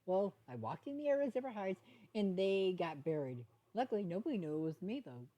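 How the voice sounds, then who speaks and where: A woman's voice: mid-range voice, sing-song, brisk, dark tone, voice pushed up. A woman voicing a young female unicorn, a well-meaning troublemaker who is eager, sheepish and apologetic in a cartoon fan story.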